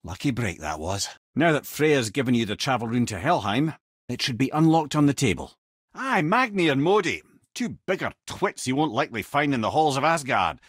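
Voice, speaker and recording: A clever, wry old Scottish storyteller for a video game. His voice has a husky grain and swings widely in pitch as he spins long, wordy tales and dry advice. The sound is dry and close, with no room around it. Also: very animated, normal speed, no echo. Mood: wry advising. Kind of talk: video game character dialogue.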